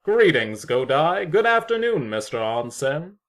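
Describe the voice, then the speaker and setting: A man's voice: a little high, big pitch swings, unhurried, fairly bright tone, full character voice. A man playing a sly, eccentric neighbour in an amateur audio drama, formal, theatrical and unruffled. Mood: smugly polite. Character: eccentric, smugly courteous male neighbour, sly troublemaker.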